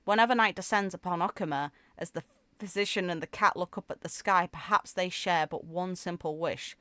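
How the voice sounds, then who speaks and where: A woman's voice: on the low side, brisk, a bit bright, dry recording, close to an everyday voice. A woman voicing a sweet, wistful young heroine from a game story, in a tender storybook manner. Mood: solemn, tender.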